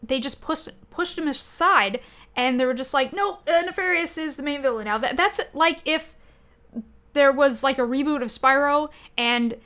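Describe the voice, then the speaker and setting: A woman talks casually as a podcast host, in a distinctly bright voice pitched a little high. Her delivery is informal and halting, and she often restarts to correct herself. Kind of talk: casual chat about games and movies.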